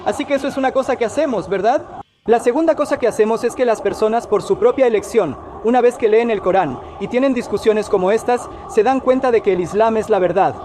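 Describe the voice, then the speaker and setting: A man's voice: extremely high pitch, fast, dark tone, a little hiss or hum. A middle-aged man from India who grew up there, earnestly explaining his organization's work in a casual conversation, sprinkling in Arabic phrases. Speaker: guest speaker, organization director.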